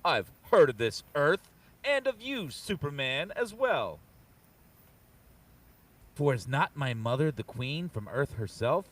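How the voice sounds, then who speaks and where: Heard a little away from the mic, a man acts out a noble, muscle-bound champion. His slightly high voice swings up and down as he declaims old-fashioned heroic lines with earnest confidence, as in a live comic book reading. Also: very animated, slow, long pauses, distant mic, heavily acted. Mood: earnest resolve. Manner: declamatory, archaic heroic diction.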